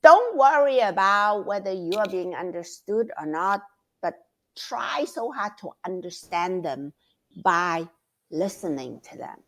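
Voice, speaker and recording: A middle-aged woman giving advice as a mentor, talking slowly and thoughtfully, often repeating words as she searches for them. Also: medium pitch, very animated. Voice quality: loud, passionate voice. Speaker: guest mentor. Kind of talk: casual advice talk.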